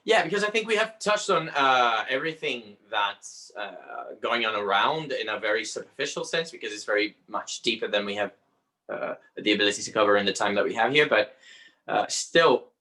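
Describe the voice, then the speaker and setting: A man with a Brazilian Portuguese accent, a native Portuguese speaker, talking as an astrologer guest in an interview and pausing often to search for words. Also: fairly low pitch, lively intonation, bright, forceful tone, low-quality recording. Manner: halting, searching for words with frequent pauses. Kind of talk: conversational interview.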